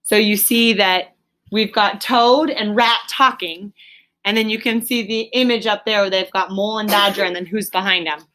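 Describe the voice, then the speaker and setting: A woman reading a children's story aloud like a teacher, with emphatic, deliberate phrasing and explanatory asides. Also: medium pitch, steady tone, sharp tone.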